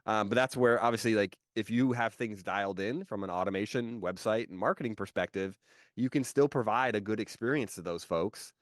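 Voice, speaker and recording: A man hosts in a relaxed, conversational way, leaning on "kind of" and tag questions. His voice sits fairly low. The sound is close and dry. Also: brisk, dry recording. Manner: conversational, with frequent "kind of" and "right?" checks. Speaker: host. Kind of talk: business podcast interview.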